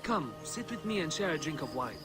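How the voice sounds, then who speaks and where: An actor playing a smooth-talking, evasive merchant in a video game, courteous, leisurely and philosophical. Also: male voice, on the high side, sing-song, fairly quick, over loud music. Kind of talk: dramatic video game acting.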